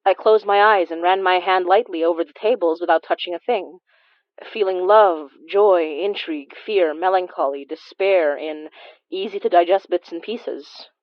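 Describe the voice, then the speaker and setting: An actress playing a gentle, anxious young woman who senses haunted objects, speaking haltingly in audio fiction. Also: fairly low pitch, no room sound, dull audio, natural voice. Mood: reflective narration. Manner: hesitant, halting, stammering. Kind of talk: audio fiction acting with first-person narration.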